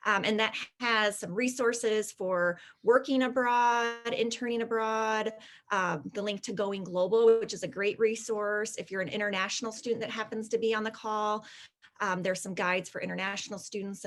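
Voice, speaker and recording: A woman's voice: mid-range voice, fairly bright tone. A woman from career services hosting an online event, welcoming people in a friendly, rambling way. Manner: friendly, rambling, with fillers and laughs.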